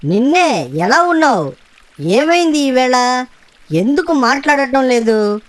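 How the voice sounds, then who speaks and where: A woman voicing a clever, friendly monkey in a children's cartoon, in an expressive manner. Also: high-pitched, takes it slowly, no echo. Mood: sly, friendly. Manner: animated, expressive.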